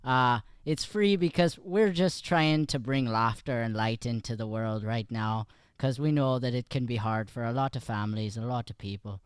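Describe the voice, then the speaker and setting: A man's voice: medium pitch, moderate pace, hardly any pauses, clean tone, dry recording, studio quality. A man co-hosting a live improv show with casual banter. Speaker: improv performer and co-host. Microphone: podcast microphone.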